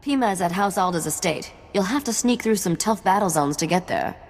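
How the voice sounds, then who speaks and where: A sardonic smuggling partner for a sci-fi game. Her voice is a bit low, her manner dry, teasing and businesslike, with a long hall reverb. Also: lively intonation, a little fast. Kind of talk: video game cutscene acting.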